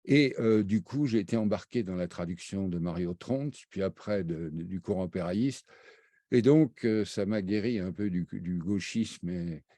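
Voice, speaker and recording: An older man, raised between Brazil and France, recalling his path as an interview guest in a halting way, with many fillers and restarts. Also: deep voice, mellow, no room sound. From raised half in Brazil, half in France. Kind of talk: hesitant spoken recollection.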